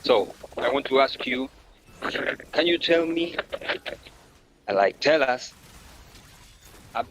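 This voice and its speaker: A man's voice: medium pitch, expressive, slow pace, pauses often, background noise. A man from Argentina with an Argentine Spanish accent, a non-native English speaker politely asking a question at an online town hall in a friendly, courteous way. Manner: friendly and courteous, with light laughs and restarts. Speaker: audience member asking a question.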